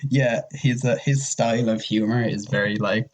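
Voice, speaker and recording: A man with a lowish voice talks casually on a podcast. He is blunt and loose with his language, and the recording has clear noise and clicks. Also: fairly even intonation, moderate pace.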